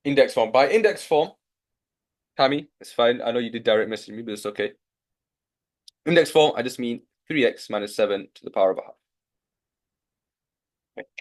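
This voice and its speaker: A young man with a Yorkshire accent runs a live online maths session as the tutor. He keeps nudging the class along with encouraging prompts, and his voice sits at an ordinary middle pitch for a man. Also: animated, brisk, long pauses. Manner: encouraging, coaxing students.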